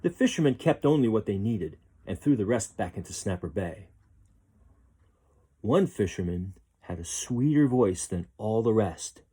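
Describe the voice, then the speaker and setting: A man reading a children's picture book aloud as a storyteller, with expressive, deliberate phrasing. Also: on the low side, husky.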